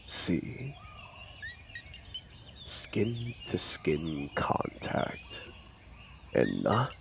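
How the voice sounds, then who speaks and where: A man playing a brooding telepathic villain with a gentle protective side, in an intimate audio drama, speaking theatrically in a gravelly, sometimes breathy and hushed voice. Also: low-pitched, a little hiss or hum, exaggerated voice. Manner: slow, theatrical, hushed, commanding yet soothing. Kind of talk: audio roleplay drama, intimate comforting monologue.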